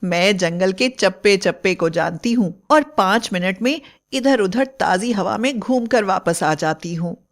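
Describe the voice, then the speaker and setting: A woman's voice: medium pitch, lively intonation, measured pace. A woman voicing a coaxing, affectionate young wife with an eerie secret, in a storybook tale. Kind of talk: dramatized Hindi storybook acting.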